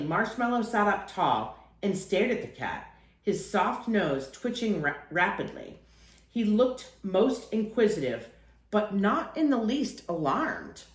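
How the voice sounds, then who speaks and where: A woman reads a picture book aloud for young children. Her delivery is expressive and animated, with plenty of rise and fall in pitch. The recording has a noticeable room echo around her voice.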